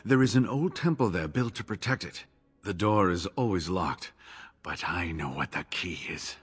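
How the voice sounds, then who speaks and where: An actor playing an older, injured male scholar in a video game, weary and sarcastic, talking in a measured way. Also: low voice, animated, a bit bright, slightly rough, exaggerated voice. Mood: earnest. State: injured, exhausted. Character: older male scholar, wounded captive, wry. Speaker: game character.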